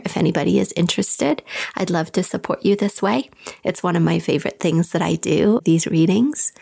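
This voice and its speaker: Woman, raspy, gravelly, low-pitched, gentle, unhurried, intuitive reader, podcast host. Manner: gentle, unhurried, imagery-rich. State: raspy voice today. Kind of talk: guided intuitive reading, descriptive.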